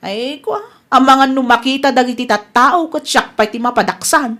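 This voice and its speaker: A woman's voice: high voice, moderate pace, a bit bright. A woman with an Ilocano Filipino accent acting a flustered village wife in a radio comedy drama. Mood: defensive. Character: flustered, blame-casting village wife, later apologetic.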